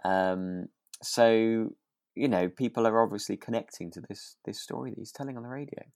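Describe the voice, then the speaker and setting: A man co-hosting a podcast in relaxed discussion, feeling his way through sentences with halting, hesitant phrasing. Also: lowish voice, creaky, dry recording, studio quality. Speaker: podcast co-host. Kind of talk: relaxed discussion of a radio drama.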